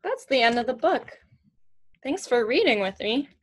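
Young woman doing a kids read-aloud, kinda high voice, really slow and singsongy, asks little questions. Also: very animated. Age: young adult, 20s. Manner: slow, simple, teacherly phrasing with questions. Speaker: student reading a picture book aloud to children. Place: small indoor room at home with shelves.

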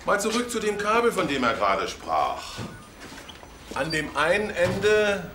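Probing detective, lively inflection. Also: male voice, high-pitched, measured pace, background noise, heavily acted. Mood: pressing. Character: male police detective, probing, dryly sardonic. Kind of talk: TV crime drama dialogue.

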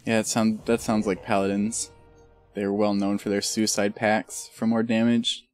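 A man's voice: fairly low pitch, little pitch movement, normal speed, soft background music. A man streaming a video game, chatting casually and joking in a rambling way. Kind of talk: casual chat while gaming.

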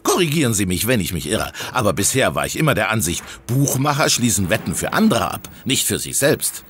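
Police inspector: low, very animated delivery, smug and accusatory, audio drama interrogation over faint noise. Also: male voice, brisk. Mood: smug, pressing. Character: triumphant, hectoring male police inspector interrogating suspect.

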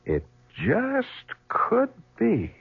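An actor playing a shrewd, dogged police lieutenant with a dry, probing manner in a 1970s radio drama. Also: male voice, very high-pitched, wildly swooping pitch, extremely slow, gravelly, heavy background noise, full character voice. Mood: brisk, intent. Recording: muffled old radio broadcast.

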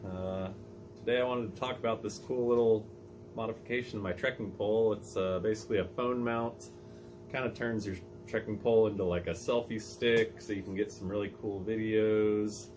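A man in his thirties hosting a casual outdoor how-to vlog, talking in a relaxed, chatty way with many fillers, filmed on a phone held at arm's length on a mountain lookout tower. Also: on the low side, normal speed, slight background noise. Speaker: vlogger host. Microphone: phone on a trekking pole at arm's length.

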